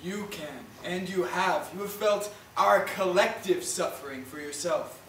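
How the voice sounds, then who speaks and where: A man playing an earnest, proud old Puerto Rican grandfather who deliberately tells his history in a stage play. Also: high-pitched, fairly bright tone. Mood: gentle, insistent. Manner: deliberate, storytelling, slips into Spanish. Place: indoor stage, recorded from far off. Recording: roomy, distant theatre recording, faint noise.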